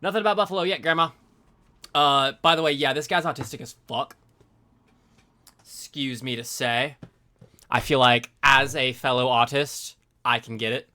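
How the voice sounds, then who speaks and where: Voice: medium pitch, moderate pace, pauses often, pushed and bright. A streamer reading a review aloud in a casual live commentary style, breaking off for informal, sweary asides.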